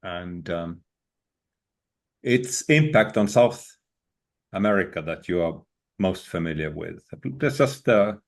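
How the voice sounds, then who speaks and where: Man interviewing, halting and stumbling. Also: deep voice, animated. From Estonia. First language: Estonian. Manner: halting, stumbling restarts, laughs easily. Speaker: interviewer, newspaper editor. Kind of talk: casual conversational interview.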